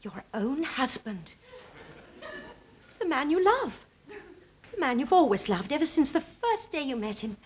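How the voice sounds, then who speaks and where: An actress playing a flustered, doting middle-aged English housewife in a TV sitcom, with a theatrical, emotive way of talking. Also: female voice, high-pitched, big pitch swings, unhurried, pauses often. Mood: anxious, distraught. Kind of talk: TV sitcom acting. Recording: faintly noisy old TV sitcom copy.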